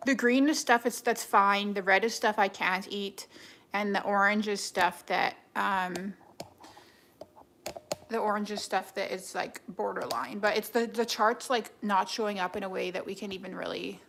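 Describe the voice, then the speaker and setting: A woman's voice: mid-range voice, fairly even intonation, moderate pace, fairly bright tone. A young woman chatting on a livestream with her viewers, sounding stuffed up and rambling with many restarts and fillers. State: stuffed-up nose. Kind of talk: casual livestream chat with viewers. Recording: echoey room with clear background noise.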